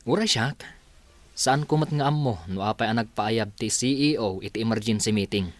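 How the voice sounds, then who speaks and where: A man's voice: on the low side, moderate pace, close to an everyday voice. A man with an Ilocano accent playing the casual adult son of a company boss in a radio drama. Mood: speculating.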